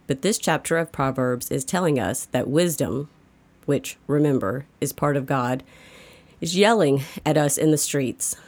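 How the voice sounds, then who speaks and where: A woman's voice: very deep voice, measured pace, a little mellow. A woman reads a scripted daily devotional as its narrator. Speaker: devotional reader.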